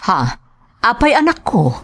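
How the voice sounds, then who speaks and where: A woman's voice: on the high side, sing-song, unhurried, fairly bright tone, pitched above the performer's own voice, exaggerated voice. A woman with an Ilocano accent voicing a middle-aged mother scolding her rebellious son. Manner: scolding, exasperated.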